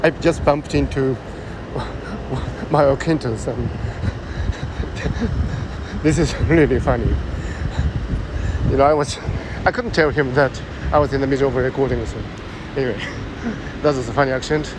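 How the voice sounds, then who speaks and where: A man with a Japanese accent, thinking aloud in a halting way, full of fillers, while walking along a busy city street. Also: medium pitch. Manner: thoughtful, halting, many fillers. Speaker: vlogger. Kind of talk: musing monologue while walking. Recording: loud street traffic behind.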